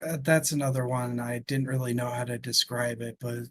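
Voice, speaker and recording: A man's voice: mid-range voice, steady tone, moderate pace, fairly bright tone. A man co-hosting a casual, conversational book discussion. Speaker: book podcast co-host.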